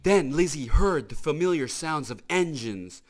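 A man narrating a children's storybook in an expressive, emphatic manner. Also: a little high, measured pace. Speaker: storybook reader.

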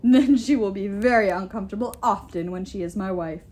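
A woman's voice: medium pitch, fairly quick, a little mellow, close to an everyday voice. A woman voicing a huge, boastful and menacing male giant king in an audiobook, with emphatic, pompous delivery. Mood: leering. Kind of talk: audiobook character voice.

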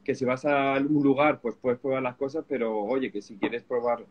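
A man in his thirties with a Spanish accent, a show host at a middle pitch talking into a shared webcam on an indoor set. He is agreeable and chatty, tossing in quick affirmations while he talks about beer. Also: fairly even intonation, highs cut off. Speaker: host, beer subscription company. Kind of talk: casual interview over video call. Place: indoor studio with colorful backdrop. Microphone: webcam sharing a shot with a co-host.

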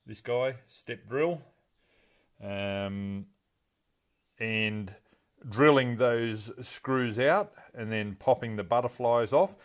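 A man, casually walking through his home garage workshop, rambling and asking viewers for advice. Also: medium pitch. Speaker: car restoration vlogger.

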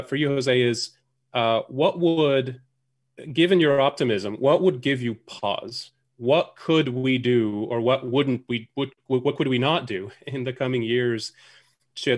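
Man in his thirties, casual, hesitant, restarting phrases, mid-pitched, webcam call. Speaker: panel questioner. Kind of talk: asking a question on a video call panel. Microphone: headphones with webcam.

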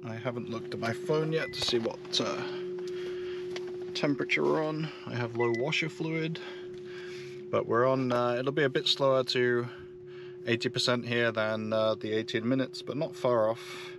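A man's voice: mid-range voice, moderate pace, noisy background. Man vlogging at an EV charger. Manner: relaxed, thinking aloud with fillers. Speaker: EV road trip vlogger. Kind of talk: casual running commentary. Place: in and around a car at a parking lot charging station.

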